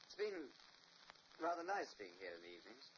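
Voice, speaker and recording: A man's voice: mid-range voice, sing-song, fairly quick, a bit bright. An actor playing a nervous, tormented married man who stammers and protests, in an old radio drama. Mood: defensive. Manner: flustered, stammering, voice rising in protest. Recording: dull old radio recording with hiss.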